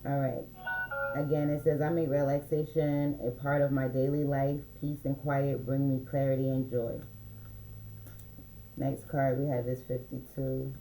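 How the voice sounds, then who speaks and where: Mellow-voiced man reading oracle cards. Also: mid-range voice, completely flat delivery, roomy sound, faint music behind, low-quality recording. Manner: loose and meandering, many fillers; slow, reads affirmations aloud. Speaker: spiritual reader.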